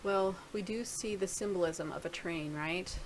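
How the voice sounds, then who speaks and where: A woman's voice: on the low side, normal speed, a little hiss or hum, low-quality recording. A middle-aged woman casually talking through a screen-share video blog, rambling and thinking aloud with many restarts. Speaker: video blogger.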